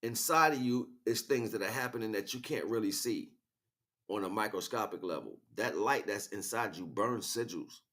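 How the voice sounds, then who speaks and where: A man's voice: fairly low pitch, animated, moderate pace, fairly bright tone. Man giving an emphatic spiritual lecture. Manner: emphatic, repeats key words, checks in with rhetorical questions. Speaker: spiritual teacher and livestream host.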